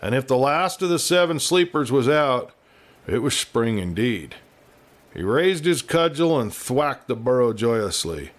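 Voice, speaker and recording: A man narrating a scripted western story as an audiobook reader, with deliberate, expressive phrasing. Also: mid-range voice.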